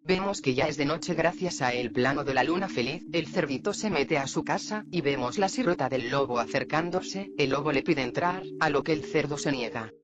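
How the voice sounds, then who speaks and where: A man's voice: on the high side, normal speed, few pauses, dull audio. A man narrating a story recap as commentary for a video.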